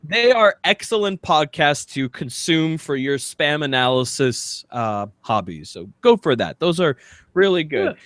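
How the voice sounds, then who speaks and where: A man with a fairly high voice talks casually as a podcast co-host, in a dry, close recording. He rambles, pausing to find words, and has a self-deprecating streak. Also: big pitch swings, a bit bright, dry recording. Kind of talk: casual chat.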